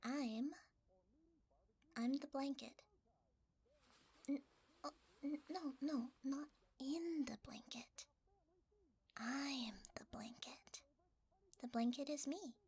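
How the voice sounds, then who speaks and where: A woman voicing a sweet, nervous blanket mimic in soft, breathy, stammering ASMR. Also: high-pitched, slow, pauses often. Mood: sheepish. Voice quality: soft, breathy, often whispered. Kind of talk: whispery ASMR roleplay.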